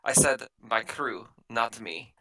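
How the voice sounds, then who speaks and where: Man playing a very sharp, blunt captain. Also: mid-range voice, slow, rough recording. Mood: cold, warning. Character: blunt, protective female starship captain.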